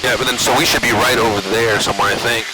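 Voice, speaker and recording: A man's voice: medium pitch, steady tone, fast talker, poor audio. A man chatting casually and making radio calls as a pilot, in a laid-back, informal way, on an aviation headset in a small plane. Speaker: private pilot working the radios. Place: inside a small propeller plane in flight. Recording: loud small-plane cockpit noise.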